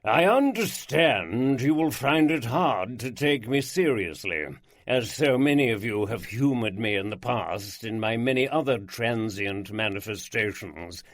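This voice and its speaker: A man playing a flamboyant aristocratic lord with a rich baritone and an aristocratic drawl, speaking in slow, grand, emphatic phrases. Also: lively intonation. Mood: grandiose.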